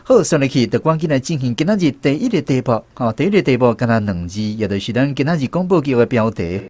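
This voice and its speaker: A man's voice: mid-range voice, big pitch swings, fast, a little mellow. A man with a Taiwanese accent hosting a language quiz in a conversational way, moderating between contestants and teachers. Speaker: host of a radio language quiz segment.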